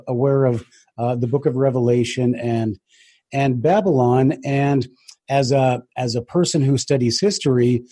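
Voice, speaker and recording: Husky, mellow-voiced male interviewer. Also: mid-range voice. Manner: hesitant, pauses with frequent "uh". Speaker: interviewer, host. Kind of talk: interview questioning.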